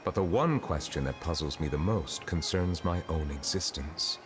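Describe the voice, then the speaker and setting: Grave immortal dragon judge: very low, formal, measured, music behind. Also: male voice, animated. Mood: pensive. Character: ancient dragon in human form, solemn male chief justice. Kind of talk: video game dialogue. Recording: game dialogue with music behind.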